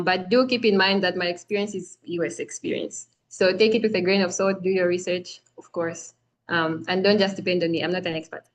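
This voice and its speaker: A young woman from Zambia hosting an online application workshop, friendly and chatty with frequent fillers, over a video call. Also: mid-range voice. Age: young adult. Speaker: workshop host. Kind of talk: online presentation.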